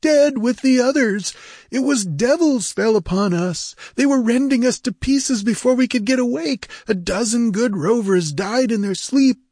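A man's voice: very high-pitched, unhurried, darkish tone, no echo, pitched above the performer's own voice, exaggerated voice. A man voicing a battered, fearful pirate rogue in a fantasy audiobook, whining and pleading. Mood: whining, terrified. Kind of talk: dramatic fantasy audiobook character.

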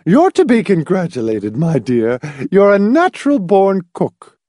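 A woman's voice: mid-range voice, wildly swooping pitch, measured pace, warm tone, no echo, studio quality, pitched above the performer's own voice. A man reading a story, voicing a fussy, gushing, complacent old woman with a prim, matter-of-fact manner. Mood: genteel fussing. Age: older.

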